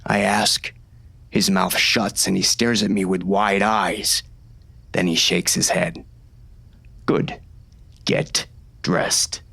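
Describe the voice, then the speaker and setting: An actor playing a hard, commanding western gang boss, stern and clipped, in an audiobook. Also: male voice, low voice, fairly even intonation, slow, gravelly, long pauses, sharp tone, slightly rough, heavily acted. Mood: cold, menacing. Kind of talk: first-person western audiobook narration and dialogue.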